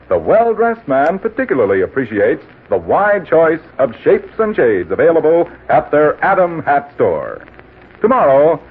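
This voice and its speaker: A man's voice: mid-range voice, husky. A man working as a radio announcer, with a polished salesman delivery in 1940s advertising style. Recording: tinny muffled vintage radio broadcast.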